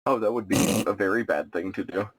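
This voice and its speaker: A man playing a tabletop roleplaying game, speaking calmly and politely in character. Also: lowish voice, brisk, husky, poor audio. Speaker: player.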